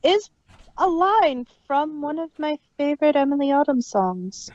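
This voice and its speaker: A woman's voice: high voice, very animated, unhurried, a little mellow, dull audio. A woman co-hosting an audio drama review podcast, talking in a thoughtful, earnest way. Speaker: podcast co-host. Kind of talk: casual review chat.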